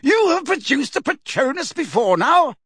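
A man reading aloud, voicing a stern older female judge who booms her questions slowly and emphatically. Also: sing-song, a bit bright, no room sound, clean studio sound, heavily acted. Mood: probing. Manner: booming, slow and emphatic.

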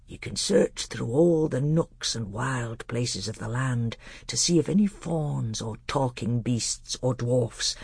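A narrator voicing a solemn old half-dwarf tutor, small and stout, speaking in a grave, deliberate, heartfelt way for a storybook. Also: mid-range voice, gravelly, a little mellow, husky, no room sound, studio quality, full character voice. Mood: urging.

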